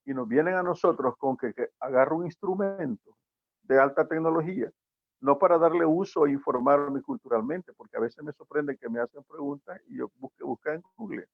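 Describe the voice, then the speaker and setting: Salvadoran man, gravelly and husky, lecturing guest over a phone-thin line. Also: on the high side, brisk, dry recording. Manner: reflective, lecturing, rhetorical questions. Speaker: guest commentator. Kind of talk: opinion talk on a show. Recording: tinny phone-like line, dry.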